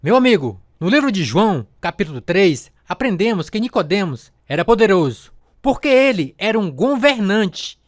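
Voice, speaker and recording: A man's voice: sits high, sing-song, normal speed, no echo, clean studio sound. A man acting a fervent evangelist in a radio drama, declaiming scripture emphatically and urging his listener. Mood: fervent, urgent. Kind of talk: dramatized sermon.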